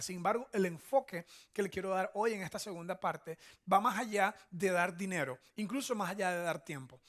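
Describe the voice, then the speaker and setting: Male pastor, Venezuelan Spanish accent, high voice, conversational sermon, didactic delivery, frequent tag questions. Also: fairly bright tone. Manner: didactic, checks in often with short tag questions. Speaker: church pastor, preacher.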